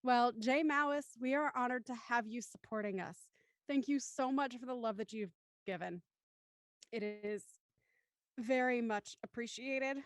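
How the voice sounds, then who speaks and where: Lady hosting a live table read, highish voice, politely formal, super dry audio. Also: unhurried, dry recording. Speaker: host and stage-direction reader of live show. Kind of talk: live stream hosting and script narration.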